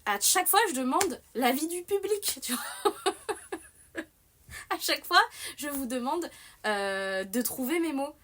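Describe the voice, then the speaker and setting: A young adult woman hosting a livestream in casual chat. She rambles and restarts a lot, with plenty of fillers and a self-mocking, animated way of talking. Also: sits high, a little slow, long pauses, slight background noise. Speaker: livestream host.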